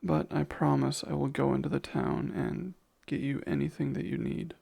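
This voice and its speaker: A man acting as kind male vampire, very creaky voice, husky, tender, formal, soothing ASMR roleplay. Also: mid-range voice, big pitch swings, normal speed, mellow, heavily acted. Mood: apologetic, reassuring. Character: gentle, protective male vampire caretaker.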